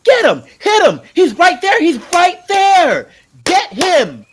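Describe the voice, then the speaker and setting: A man voicing an excitable, cheeky young squire boy in an audiobook, gleeful and impatient. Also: very high-pitched, takes it slowly, pitched above the performer's own voice, heavily acted. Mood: gleeful shouting. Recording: clear background noise.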